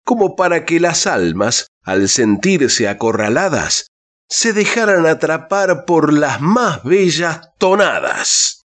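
Male radio host, higher voice. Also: sing-song, slow, faint music behind. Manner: warm folksy greetings, then reading contact details. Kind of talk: scripted radio announcing.

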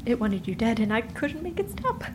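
A woman playing a guilt-ridden, protective sister in a fantasy audio drama, crying and halting with a cracking voice. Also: fairly high pitch, sing-song. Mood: distraught, pleading. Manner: halting, pleading repetition. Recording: noisy background ambience.

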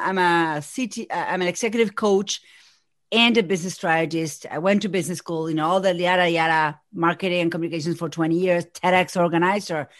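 A woman in her forties from Argentina, a native Spanish speaker with an Argentine Spanish accent, talking as a podcast guest and executive coach in an energetic, think-aloud way. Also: lowish voice, no echo, studio quality. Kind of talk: casual podcast conversation.

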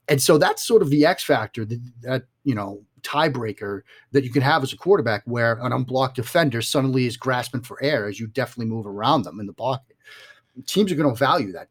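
Guest football analyst: man, forties, Boston accent, average pitch, emphatic and conversational. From Boston area, United States. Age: 40s.

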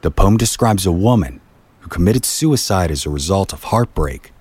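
A man's voice: sits low, animated, moderate pace, a little mellow, husky, no room sound. A man reading scripted storytelling narration in the manner of a history documentary.

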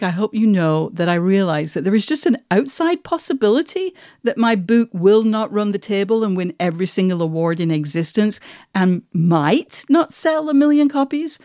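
A middle-aged woman hosting a podcast, speaking conversationally in thoughtful, articulate, measured phrases. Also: medium pitch, a little mellow, no echo, studio quality. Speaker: podcast host.

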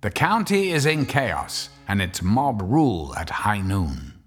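An actor as a wry, ominous host narrating a radio drama. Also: male voice, on the low side, big pitch swings, measured pace.

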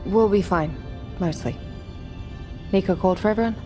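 A woman's voice: medium pitch, normal speed, over music, lightly acted. A woman playing an exasperated, practical survivor in a naturalistic audio drama, curt and dryly sarcastic. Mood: businesslike. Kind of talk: naturalistic fiction podcast acting.

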